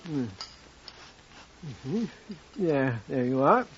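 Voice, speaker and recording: An actor playing a humble, uneasy janitor and husband, hesitant and folksy, in a 1950s radio drama. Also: male voice, mid-range voice, big pitch swings, drawn-out words, heavily acted. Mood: homely. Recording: dull, hissy old radio recording.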